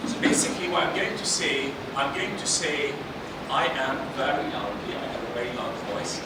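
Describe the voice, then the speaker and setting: Animated man in an echoey hall. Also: medium pitch, normal speed. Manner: animated, coaxing the audience. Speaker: panellist. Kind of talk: seminar talk leading an audience exercise. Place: large seminar hall. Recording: echoey hall, distant mic, noisy.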